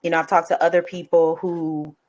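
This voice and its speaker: A woman's voice: lowish voice, level tone, brisk, a little mellow. A woman hosting a podcast, asking questions in a chatty, casual way with frequent backchannels. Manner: chatty, backchannels often, laughs. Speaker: podcast host. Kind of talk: casual interview conversation.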